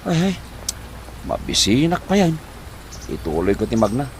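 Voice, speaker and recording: A man's voice: mid-range voice, big pitch swings, normal speed, natural voice. A man acting a worried, debt-ridden husband in an Ilocano comedy drama, with an Ilocano accent and a flustered, stammering, sighing manner. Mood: weary, hungry. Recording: noticeable background noise.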